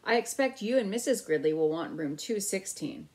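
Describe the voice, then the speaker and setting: A woman's voice: mid-range voice, animated, moderate pace, darkish tone, natural voice. A woman narrator voicing a seasoned hotel bellboy in a storybook, courteous and reassuring. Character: seasoned, polite hotel bellboy named Matt.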